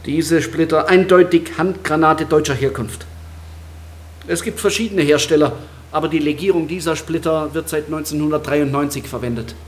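A man's voice: on the high side, moderate pace, close to an everyday voice. Pedantic forensic expert in an echoey room, acted by a man. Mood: explanatory. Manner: pedantic, explanatory, detached. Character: matter-of-fact male forensic lab expert, proud of his specimens. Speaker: supporting actor. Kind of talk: radio drama dialogue. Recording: roomy echo, faint background noise.

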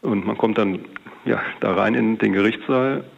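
A man's voice: lowish voice, moderate pace, creaky, lo-fi sound. A middle-aged man, a police detective, giving a wordy, hesitant, matter-of-fact account in an interview.

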